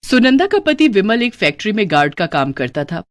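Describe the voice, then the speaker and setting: A woman narrating a scripted cartoon storybook in an expressive storytelling manner. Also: mid-range voice, a little fast.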